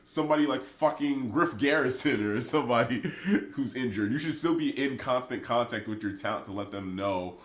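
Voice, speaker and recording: A man's voice: medium pitch, normal speed, hardly any pauses. A man co-hosting a casual podcast, his voice stuffy and congested from a cold, ranting with strong opinions. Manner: opinionated, animated rants. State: stuffy, sick voice. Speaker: podcast co-host. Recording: echoey room.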